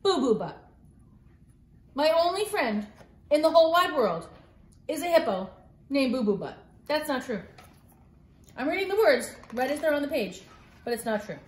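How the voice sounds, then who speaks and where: A middle-aged woman reads a story to children in a high, very lively voice. She is playful and theatrical, slipping in mock-exasperated asides, and the room echoes around her as a phone records from a few feet away. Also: normal speed, long pauses. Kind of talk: animated read-aloud of a picture book for children. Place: living room at home, indoors. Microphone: phone camera a few feet away. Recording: roomy home phone-video sound.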